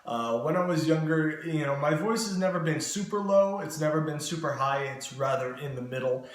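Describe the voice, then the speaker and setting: Expressive young man in an echoey room. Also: moderate pace. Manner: very expressive, swings in pitch and loudness, many fillers. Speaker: vlogger giving voice advice. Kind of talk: casual advice monologue to camera.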